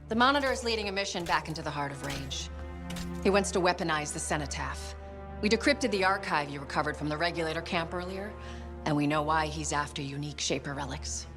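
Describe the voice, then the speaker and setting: A woman playing a grave, commanding intelligence briefer in a sci-fi video game, serious and deliberate. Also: sits low, over music, lightly acted.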